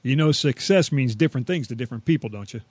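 A man's voice: medium pitch, expressive, fast talker, darkish tone, husky, no echo. A man co-hosting a comic radio show, trading scripted banter in a jokey, self-deprecating way.

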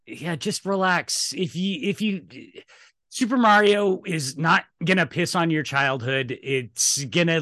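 Guy podcast host ranting about his takes, bright punchy voice, kinda high, super dry sound. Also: no echo. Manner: emphatic, opinionated, profane. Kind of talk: casual film discussion, opinion rant.

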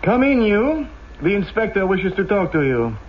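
A man's voice: sits high, very animated, normal speed. An actor playing a dutiful, slightly hesitant policeman reporting to his superior in an old-time radio drama. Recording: dull, noisy old radio recording.

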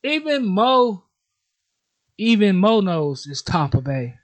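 Higher-voiced American man trading football banter. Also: big pitch swings, takes it slowly. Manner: informal, teasing, slangy. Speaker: sports podcast co-host.

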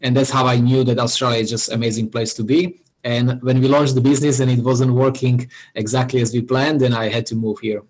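Slovak guy with an accent, bit husky, talks slow and halting with lots of uhs. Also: mid-range voice, level tone, a little mellow, poor audio. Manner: halting, with frequent "uh" and restarts. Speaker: podcast guest, founder. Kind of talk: casual interview answers.

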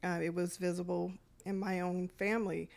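A woman's voice: fairly low pitch, flat delivery, normal speed, creaky, darkish tone. A middle-aged woman from Detroit, a youth programs director, telling her life story in a podcast interview, reflective and full of fillers.

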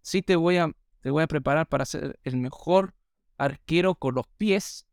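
A man born in Australia whose first language is English, hosting a podcast and telling stories in a lively way with many fillers. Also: on the high side, normal speed, vocal fry, smooth, no room sound, studio quality. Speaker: podcast host. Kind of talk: casual chat.

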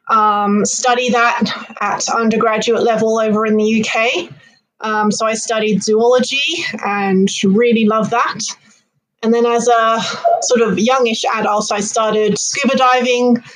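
A woman's voice: fairly high pitch, fairly even intonation, measured pace, poor audio. A woman from London with a British accent, speaking conversationally as an interview guest and telling personal stories. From London, United Kingdom. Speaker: interview guest, marine biologist.

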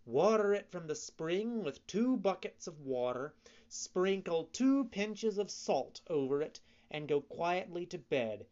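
Storybook fox swindler, coaxing and slippery, done by the narrator, pitched up and unhurried. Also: darkish tone, lo-fi sound. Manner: persuasive, coaxing, mock-offended. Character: sly smooth-talking fox swindler. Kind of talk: audiobook reading.

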